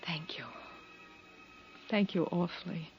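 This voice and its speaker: Genteel lonely widow, lowish voice, hesitant and confiding, muffled vintage radio with music. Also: female voice, steady tone, slow. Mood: regretful. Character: wistful, confiding, lonely widow who drinks. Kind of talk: 1950s radio drama acting.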